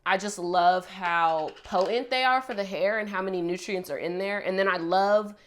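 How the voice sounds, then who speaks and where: A woman's voice: mid-range voice, moderate pace, a little hiss or hum. A young woman with a congested voice from a cold, chatting casually through her hair-care routine at home. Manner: chatty, upbeat, walks through each step. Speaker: beauty vlogger.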